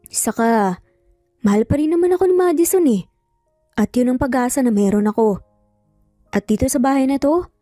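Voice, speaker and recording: A woman narrator acts out a lovelorn, determined male lawyer in an audio drama story. The delivery is idealistic and emphatic, in a very mellow voice over music. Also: a little high, no echo, studio quality, lightly acted. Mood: hopeful, determined.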